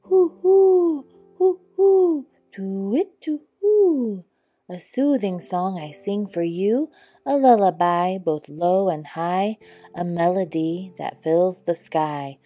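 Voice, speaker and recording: Woman as a lonely owl, high drawn-out hoots. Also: animated, warm tone, breathy edge. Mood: soothing melancholy. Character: lonely, melancholic male owl singing lullaby. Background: background music.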